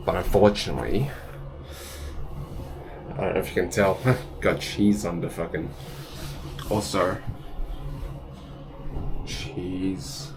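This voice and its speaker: Low male voice, casual vlogger, blunt, swears, handheld camera mic, loud street noise. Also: steady tone, slow pace, vocal fry, long pauses. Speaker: travel vlogger. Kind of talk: talking to camera on location. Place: city streets and restaurant, indoors and outdoors.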